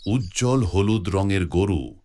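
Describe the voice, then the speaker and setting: A man's voice: sits low, animated, slow, dark tone. A man voicing a solemn prophet who gives firm, declarative commands in a cartoon religious story. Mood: solemn instruction. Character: solemn prophet relaying divine commands firmly.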